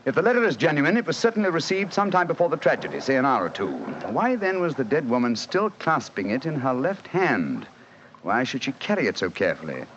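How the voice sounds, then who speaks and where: A man acting a brilliant, self-assured English detective in a 1940s radio drama, lecturing crisply and logically in a British accent. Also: mid-range voice, sing-song, brisk, a bit bright. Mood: probing. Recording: dull, hissy 1940s radio recording.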